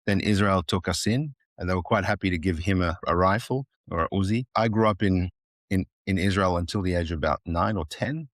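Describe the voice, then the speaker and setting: A man's voice: sits low, fairly quick, no room sound, clean studio sound. A man in his fifties from Moldova with an Australian accent, a guest chatting casually, rambling and joking with many restarts. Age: 50s. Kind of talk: casual interview chat.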